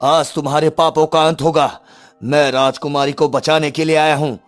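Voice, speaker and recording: A man's voice: fairly high pitch, level tone, moderate pace, soft background music, natural voice. A man voicing a brave young prince in a cartoon story, speaking formally and resolutely. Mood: resolute challenge. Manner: formal, declarative.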